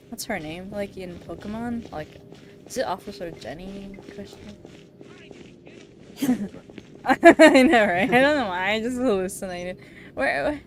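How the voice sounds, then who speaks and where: Young woman streaming, animated and giggly. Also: fairly high pitch, a little hiss or hum. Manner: giggly, easily surprised. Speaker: game streamer. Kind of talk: casual livestream chat while gaming. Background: game music.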